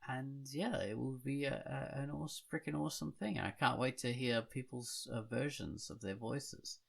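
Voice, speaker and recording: A man's voice: mid-range voice, moderate pace, creaky. A man hosting a podcast in casual chat, rambling and thinking aloud as he goes. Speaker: podcast host.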